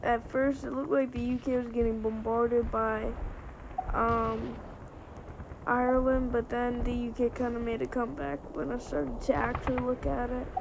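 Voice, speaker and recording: Slightly hoarse woman rambling over her gameplay, lots of ums and likes, flat delivery. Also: a little high, moderate pace, background noise. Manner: rambling, many fillers and restarts. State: slightly hoarse from a winter cold. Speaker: gameplay video creator.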